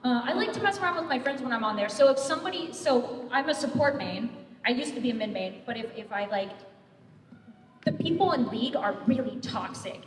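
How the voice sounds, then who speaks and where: Brief: woman panelist, fairly high voice, blunt jokey delivery, distant echoey hall. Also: expressive, a little fast, slight background noise. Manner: blunt, joking, laughs often. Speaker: voice actor panelist. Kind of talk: casual panel chat. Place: large convention hall.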